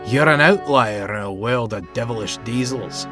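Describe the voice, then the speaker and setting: A man's voice: medium pitch, normal speed, over music, natural voice. A man voicing a loyal, blunt, hearty steam engine with a Scottish accent in a children's story. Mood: firm, reassuring.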